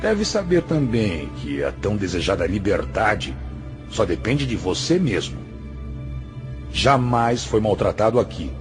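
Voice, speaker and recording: A man's voice: on the low side, sing-song, a little slow. A man acting in a radio drama as a stern, patient spiritual master, speaking in a solemn, admonishing and didactic way. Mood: firm reproach. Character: stern, patient male spiritual master, grave moral counselor. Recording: music under the voice.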